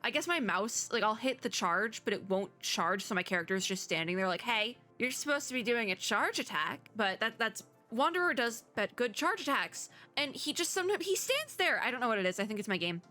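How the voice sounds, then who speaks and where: Woman, bright voice, casual reaction host, chatty, rambling, mock exasperation, faint music. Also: fairly high pitch, big pitch swings, brisk, few pauses. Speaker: reaction video host and VTuber. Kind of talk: casual reaction chat to gamers. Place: indoors at a home computer setup.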